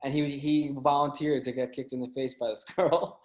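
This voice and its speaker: A man chatting casually as a podcast guest, telling anecdotes in a halting way, often repeating and stumbling over words. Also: mid-range voice, flat delivery. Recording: roomy, echoey sound.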